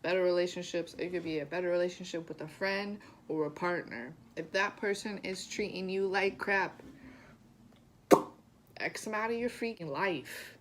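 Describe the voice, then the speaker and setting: A woman's voice: on the low side, moderate pace, a little hiss or hum. A woman YouTuber giving blunt, emphatic advice to the camera. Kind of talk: motivational talk to camera.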